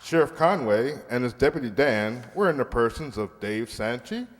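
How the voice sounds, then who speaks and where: Animated male radio announcer in a noisy hall. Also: mid-range voice, moderate pace. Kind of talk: scripted old-time radio closing credits. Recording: reverberant hall, clear noise.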